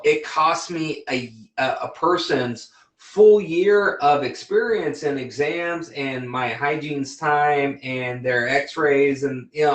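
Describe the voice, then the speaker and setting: A man hosting an interview in a relaxed, casual way. His voice sits a bit higher than most men's, and he talks bluntly with plenty of teasing and restarts. The sound has a roomy echo. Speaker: interview host, dentist. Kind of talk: casual livestream interview chat.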